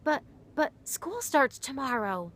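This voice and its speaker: A woman's voice: sits high, big pitch swings, takes it slowly, a bit bright, voice pushed up, full character voice. A woman voicing an earnest young boy who asks questions and stammers when upset in a read-aloud story. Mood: dismayed.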